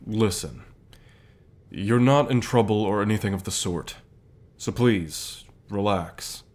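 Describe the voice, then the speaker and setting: Man playing a slow, low-voiced reassuring boss. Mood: reassuring, concerned. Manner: measured, soft-spoken, deliberate pauses. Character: calm, reassuring male company boss, gently authoritative. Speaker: voice actor in an audio roleplay. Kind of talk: ASMR roleplay acting.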